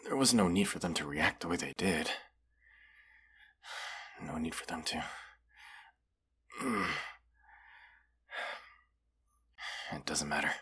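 Deep voice, proud menacing dragon, acted by a man, haughty, unhurried, deliberate pauses, fantasy audio roleplay. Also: animated, bright, forceful tone, breathy edge, exaggerated voice. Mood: weary, bitter. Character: proud, menacing wounded male dragon, weary and distrustful of humans. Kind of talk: scripted audio roleplay monologue.

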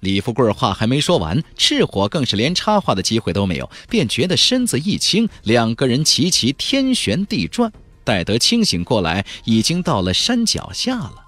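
Male audiobook narrator, very animated delivery with a husky edge, faint music underneath. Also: medium pitch, moderate pace, hardly any pauses. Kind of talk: dramatic scripted narration of a fantasy novel.

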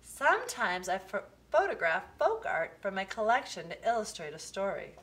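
A woman reading a children's picture book aloud, with expressive, deliberate phrasing. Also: mid-range voice. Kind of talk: storybook read-aloud for children.

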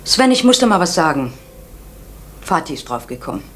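A woman's voice: sits low, sing-song, normal speed. An actress playing a pragmatic, controlling middle-aged mother in an East German radio drama, urging and reproachful. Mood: persuading. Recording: old radio recording, faint noise.

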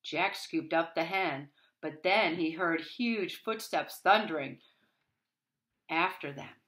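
A woman's voice: low-pitched, slow, a bit bright. A middle-aged woman reading a picture book aloud to young children, expressively and with dramatic emphasis. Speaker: storybook reader for children. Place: small wood-panelled room at home, indoors.

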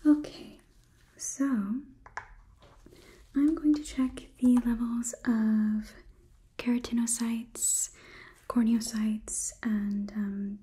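A woman in her twenties performing a whispered ASMR roleplay as a politely detached robot examiner, murmuring in a soft, unhurried, clinical manner. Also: a little high, slight background noise. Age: 20s. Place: small indoor studio set. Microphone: close microphone, on camera.

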